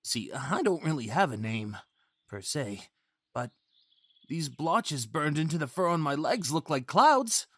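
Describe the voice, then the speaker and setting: A man's voice: fairly high pitch, sing-song, unhurried, fairly bright tone, breathy edge, no room sound, full character voice. A young man acting a timid, eager, naive male faun who stammers and pleads sweetly in an ASMR fantasy roleplay. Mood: shy, friendly. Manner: stammering, hesitant, childlike eagerness.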